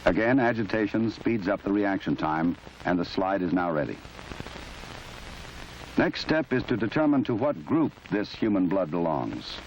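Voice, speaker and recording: A man reading formal, measured, instructional narration for a training film, in a precise scripted documentary style. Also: deep voice, big pitch swings, a little mellow. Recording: muffled, noisy old 1970s film soundtrack.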